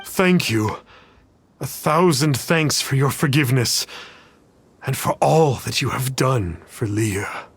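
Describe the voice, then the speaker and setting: An awestruck, earnest young admirer. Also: male voice, mid-range voice, takes it slowly, husky. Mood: grateful, earnest. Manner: deferential, gushing, stammering. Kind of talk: video game voice acting.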